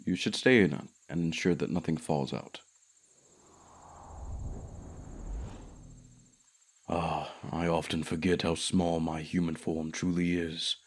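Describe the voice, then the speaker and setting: A man voicing an ancient, lonely, haughty male dragon in a fantasy audio roleplay, speaking in a formal, measured, courteous way. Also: sits low, steady tone. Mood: gently reassuring.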